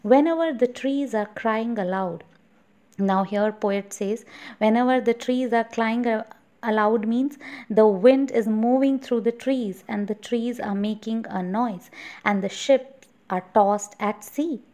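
Slow-paced Indian woman teacher. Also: a little high, steady tone, warm tone. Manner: deliberate, repetitive explaining, addressing children. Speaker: English teacher. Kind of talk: explaining a poem to children, lesson narration.

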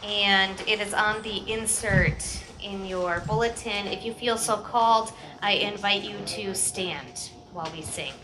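A woman's voice: mid-range voice, measured pace, few pauses. A middle-aged woman pastor leading an outdoor worship service in an informal, conversational way. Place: outdoor church courtyard. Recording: outdoor PA with clear background noise.

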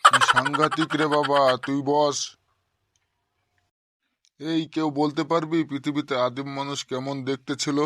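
A man playing an exasperated high-voiced cartoon schoolmaster. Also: a little slow, pauses often, sharp tone, no room sound, studio quality, exaggerated voice. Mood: quizzing. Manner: scolding, sarcastic, addressing pupils bluntly. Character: exasperated, short-tempered male village schoolteacher, middle-aged. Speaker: cartoon voice actor. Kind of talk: comic cartoon dialogue.

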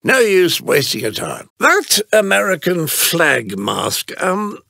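An actor playing an elderly, prim English butler in a video game, with a raspy, creaky British voice and a formal, fussy, drily understated manner. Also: male voice, high-pitched, wildly swooping pitch, slow pace, bright, forceful tone, dry recording, clean studio sound, full character voice. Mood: dry, musing. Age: older. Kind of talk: scripted video game character lines.

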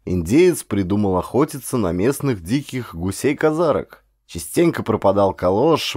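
A man reading scripted adventure narration in an expressive, dramatized storytelling manner. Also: lowish voice, dry recording. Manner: expressive, acts out dialogue. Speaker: audiobook narrator, actor.

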